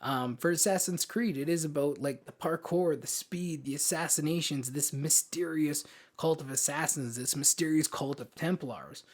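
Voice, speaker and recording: Higher-voiced man in casual, emphatic discussion. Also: normal speed. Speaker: podcast co-host. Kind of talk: casual opinionated film discussion.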